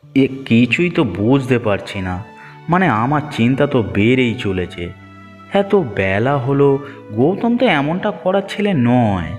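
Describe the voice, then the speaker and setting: A man's voice: medium pitch, very animated, a little slow, long reverb tail, soft background music. A man playing a fretful but firm middle-aged village uncle in a fairy-tale cartoon. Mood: puzzled worry. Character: worried village uncle, gruff but reassuring husband.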